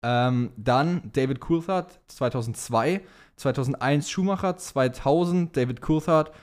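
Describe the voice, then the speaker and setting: A man's voice: medium pitch, unhurried. A young man co-hosting a podcast, chatting casually in slangy, teasing banter. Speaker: podcast co-host.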